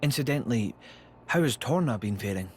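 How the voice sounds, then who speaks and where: An actor playing a courteous, grave army commander in a video game cutscene, speaking in a formal, measured way. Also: male voice, medium pitch, expressive, a little hiss or hum, lightly acted.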